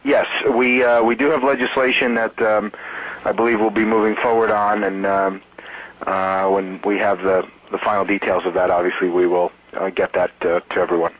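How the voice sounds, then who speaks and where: An American man, a campaign spokesman, answering reporters on a conference call in a halting, measured way. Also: on the low side, flat delivery, highs cut off. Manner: halting, measured, hesitant delivery.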